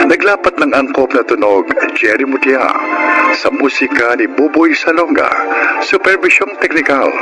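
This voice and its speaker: A Filipino man hosting and narrating a radio drama in a dramatic, measured announcer's delivery. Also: medium pitch, poor audio. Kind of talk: scripted narration, announcing credits. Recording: loud dramatic music under voice.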